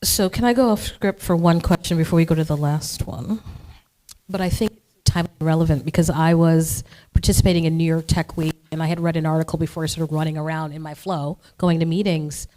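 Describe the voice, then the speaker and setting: A woman's voice: sits low, steady tone, brisk, mellow, rough recording. A woman hosting an interview who asks long, enthusiastic, rambling questions. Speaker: interview host. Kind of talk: interview conversation.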